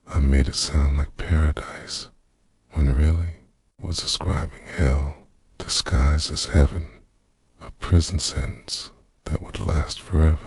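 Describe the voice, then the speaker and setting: A man playing an obsessive, remorseful vampire boyfriend in an intimate ASMR roleplay, half-whispering a slow confession in a gravelly voice. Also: very deep voice, a little mellow, no room sound, full character voice.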